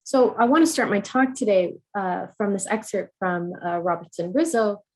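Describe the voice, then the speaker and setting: A woman from the United States giving an academic conference talk, measured and earnest, with frequent ums and uhs. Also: medium pitch, low-quality recording. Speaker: conference speaker.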